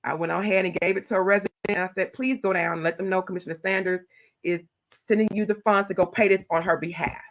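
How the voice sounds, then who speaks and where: A middle-aged woman, a county commissioner telling stories in an animated, loud voice. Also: medium pitch, fast. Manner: animated storytelling, acting out her own quoted replies. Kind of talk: casual interview talk.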